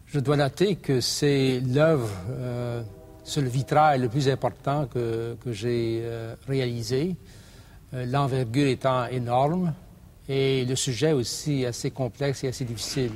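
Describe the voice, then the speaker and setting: A stained-glass artist speaking thoughtfully in a documentary interview, pausing often. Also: male voice, mid-range voice, fairly even intonation, a little mellow. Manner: thoughtful, hesitant with fillers.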